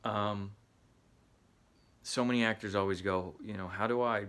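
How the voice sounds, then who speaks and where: A man's voice: on the low side, steady tone, a little slow, fairly bright tone, clear, resonant tone. A middle-aged man with a Southern US accent, from the Midwest, giving an earnest motivational talk to actors as an acting coach, often restarting his words.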